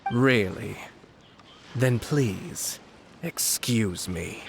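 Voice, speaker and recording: A man playing a refined, shrewd nobleman commissioner in an anime game, speaking in a measured, formal, deliberate way. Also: on the low side, creaky, background noise, exaggerated voice. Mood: stern, cool. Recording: music behind the voice.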